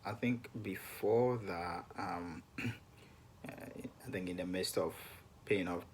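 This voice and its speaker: A man's voice: low voice, slow, slight background noise. A young man co-hosting a personal finance chat to camera, talking hesitantly with many ums and restarts. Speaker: co-host of a personal finance channel.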